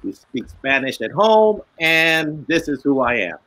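A man's voice: a little high, very animated, unhurried, fairly bright tone. A man, an author and illustrator, telling a reflective story on a video call in a casual way. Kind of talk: panel discussion answer. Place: home room with bookshelves.

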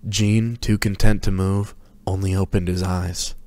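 A man with a low voice reads a story aloud as its narrator. The delivery is a dramatic reading, recorded close with very little room sound. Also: level tone, unhurried, a little mellow, dry recording. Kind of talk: dramatic reading of prose fiction.

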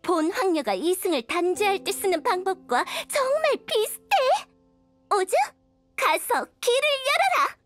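A very high, theatrical young princess from an anime game. She speaks pompously in old-fashioned royal phrases, swinging widely in pitch. Music plays clearly behind her. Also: very animated, measured pace, fairly bright tone, exaggerated voice. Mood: grandiose. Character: haughty, theatrical young princess with grandiose archaic speech.

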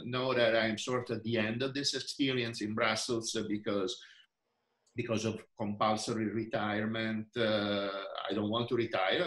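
Older Italian-accented man with a low voice, halting over a video call in an echoey room. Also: a bit bright. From Italy. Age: 60s. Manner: halting, many fillers and restarts. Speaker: researcher and physician. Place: indoor room.

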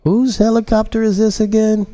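A man's voice: sits high, flat delivery, moderate pace, dark tone, pitched above the performer's own voice, lightly acted. A man voicing a casual, questioning male assistant as a character in an audiobook. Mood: puzzled.

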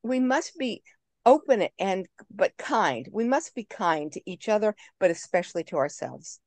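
A woman's voice: medium pitch, very animated, moderate pace, dry recording. Woman over fifty, actress guest; brassy, blunt, playful delivery, dry sound. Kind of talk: video call interview. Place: home room.

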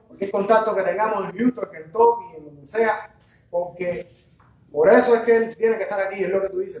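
A young man with a Cuban accent speaks up from the floor of a lecture hall, his voice high and lively as he gestures and restarts his thoughts. He is caught by a phone camera far across the room, so his voice sounds distant and very echoey, with room noise behind him. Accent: Cuban Spanish. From Cuba. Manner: animated, gestures while speaking, restarts and fillers. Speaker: audience member. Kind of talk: impassioned public comment.

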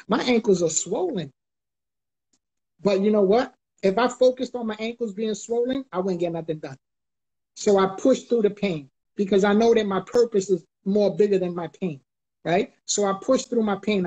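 Husky-voiced New man preaching motivation. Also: high voice, darkish tone, low-quality recording. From the Bronx, New York. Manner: emphatic, repeats key phrases like a preacher. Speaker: guest motivational speaker. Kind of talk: motivational talk on a live video call. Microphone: video call, close webcam or phone.

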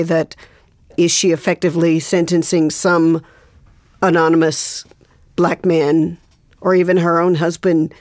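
Slow, low-pitched woman, deliberate. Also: darkish tone, dry recording, studio quality. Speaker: actress, interview guest. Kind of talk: reflective interview talk.

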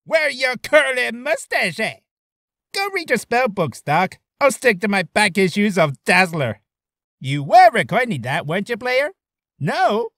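A man voicing a manic, wisecracking, fourth-wall-breaking mercenary in an excitable, mocking way for a game character reel. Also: very high voice, dry recording, clean studio sound, pitched above the performer's own voice, heavily acted.

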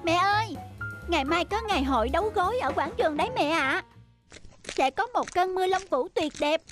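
High-voiced, bubbly little cartoon girl. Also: animated, brisk, over music. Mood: excited. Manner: bubbly, childlike. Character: eager, affectionate little girl with big enthusiasm. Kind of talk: animated cartoon dialogue.

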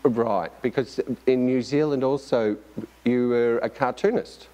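A man's voice: medium pitch, unhurried, mellow, poor audio. A middle-aged man hosting an arts interview show, asking questions with many fillers and restarts. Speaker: host. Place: studio with staircase.

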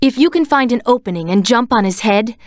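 Actress as young female game cat, serious, measured, commanding, higher-pitched, dry close recording. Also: lively intonation, no echo, natural voice. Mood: composed, instructive. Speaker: video game character. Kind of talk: scripted game voice acting, tactical hints.

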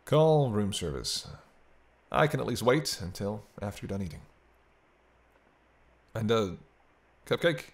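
A man's voice: lowish voice, lively intonation, a little slow, pauses often. A man playing a teasing, century-old vampire in an intimate roleplay audio drama, bantering wryly with hesitant asides. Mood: hesitant, defensive. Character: teasing century-old male vampire, sardonic but soft-hearted.

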